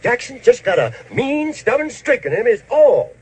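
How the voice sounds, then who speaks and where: A man's voice: very high-pitched, big pitch swings, normal speed, a little mellow, a little hiss or hum. An actor playing an opinionated rancher father in an old western film, folksy and emphatic. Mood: insistent.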